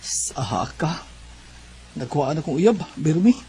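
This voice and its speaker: A lovestruck young dude, really high voice, slangy banter, radio play. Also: male voice, big pitch swings, a little slow, over music. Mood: playful. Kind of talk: radio drama acting.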